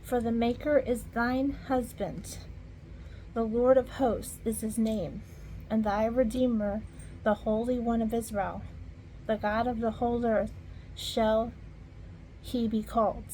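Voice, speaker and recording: A woman reading scripture aloud in an informal way, halting at hard words and talking softly to her viewers between verses. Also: on the high side, fairly even intonation, a little hiss or hum, low-quality recording. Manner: slow, halting, stumbles over archaic words. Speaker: Bible reader.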